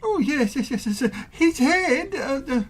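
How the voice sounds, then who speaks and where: A woman's voice: high voice, big pitch swings, measured pace. A woman playing a flustered, giggly monarch in a radio play, muddled and stammering as they preside over a trial. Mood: dithering.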